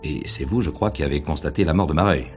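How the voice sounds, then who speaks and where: An actor playing a probing, sly middle-aged police inspector in a radio mystery play, questioning in a hesitant, deliberate way. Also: male voice, rumbling low, a little mellow, soft background music. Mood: methodical questioning. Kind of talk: French radio mystery play acting in 1950s manner.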